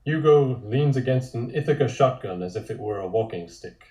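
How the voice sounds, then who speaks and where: A man's voice: medium pitch, lively intonation, normal speed, dark tone. A man narrating scripted stage directions for a table read, with dry, wry asides. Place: indoor room, multistream call. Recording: roomy, echoing home recording.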